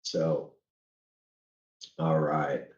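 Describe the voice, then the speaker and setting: A man's voice: very deep voice, level tone, darkish tone, reverberant, dull audio. A man hosting a racing livestream in a folksy, earnest way, speaking while under the weather with a head cold. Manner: folksy and earnest, halting at times. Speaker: livestream host. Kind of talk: casual livestream commentary.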